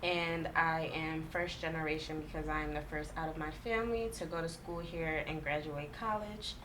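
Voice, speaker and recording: A woman's voice: sits low, steady tone, moderate pace, background noise. A woman in her twenties from Mali, speaking in an easygoing way and laughing readily on a discussion panel. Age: 20s.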